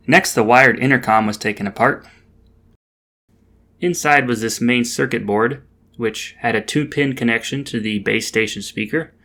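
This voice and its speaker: A man's voice: fairly low pitch, bright, forceful tone. A man narrating a DIY project in a casual, explanatory voice-over with a dry, understated manner.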